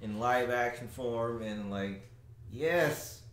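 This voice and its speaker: A man's voice: medium pitch, slow. Young man chiming in, echoey room. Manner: relaxed, chiming in with short comments. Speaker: reaction video co-host. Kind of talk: casual movie reaction chat. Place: small room indoors, seated. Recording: echoey small room with faint hiss.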